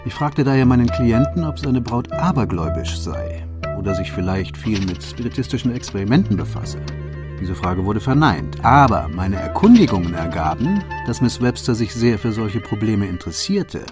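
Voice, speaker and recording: An actor playing a shrewd detective in a German radio play, laying out his case in a deliberate, formal and precise manner. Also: on the low side, big pitch swings, music in the background. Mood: methodical, assured. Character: shrewd, methodical male detective recounting his solved case.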